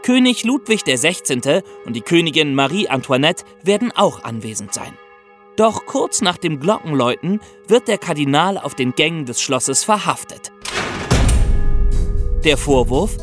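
A man's voice: a little high, very animated, normal speed, music in the background. A man hosting a history podcast, telling a scripted story in an explanatory way and addressing listeners directly. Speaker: podcast host.

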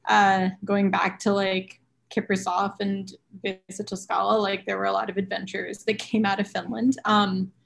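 A woman's voice: mid-range voice, little pitch movement, moderate pace, vocal fry. A woman guest on a podcast discussing goalie prospects in detail, thinking aloud with frequent ums. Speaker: podcast guest, hockey analyst. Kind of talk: casual hockey chat.

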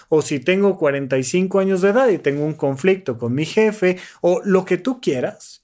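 Mexican male life coach, earnest and emphatic, slightly high voice. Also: darkish tone. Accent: Mexican Spanish. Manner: earnest, emphatic, mimics the people he critiques. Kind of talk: motivational talk.

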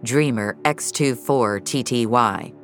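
A woman's voice: very deep voice, takes it slowly, soft background music, exaggerated voice. A woman voicing a stern, accusing male boss with a dry, grave, clipped manner.

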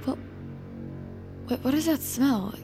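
A woman's voice: high voice, expressive, takes it slowly, music in the background, heavily acted. An actress playing a defiant, anxious young woman in an audio drama, hesitant and emotional. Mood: confused, alarmed. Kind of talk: audio fiction drama acting.

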